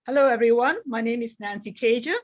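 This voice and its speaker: A middle-aged woman from Singapore and England introducing herself as a judge, speaking a little haltingly. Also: on the high side, no room sound, dull audio. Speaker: competition judge. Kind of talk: self-introduction speech.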